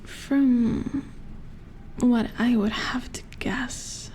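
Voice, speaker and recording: A woman playing a calm, matter-of-fact robot repair engineer in a soft-spoken ASMR roleplay, murmuring as if thinking aloud in a breathy whisper. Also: on the high side, extremely slow, low-quality recording. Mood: matter-of-fact, explaining. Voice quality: breathy whisper with creaky edges.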